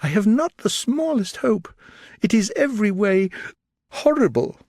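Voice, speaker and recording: A man's voice: sing-song, measured pace, a little mellow, no room sound, clean studio sound, full character voice. A man voicing a frantic, tearful young lady. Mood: anguished. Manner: urgent, pleading, high and breaking. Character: distraught young gentlewoman, anxious and tearful.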